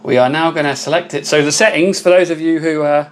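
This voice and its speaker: Loud-voiced man chatting on a live stream. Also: on the high side, fairly even intonation, fast talker. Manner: chatty, thanks viewers by name. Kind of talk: casual live stream chat with viewers.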